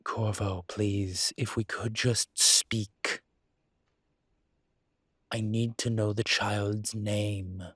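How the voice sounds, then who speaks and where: A weary incubus demon , played by a man, speaks slowly in a deep-leaning, husky voice, recorded dry and close. He is earnest and diplomatic, imploring in halting phrases with dry asides, as in a dramatic audio roleplay. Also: little pitch movement, fairly bright tone, no room sound, full character voice. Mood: earnest pleading.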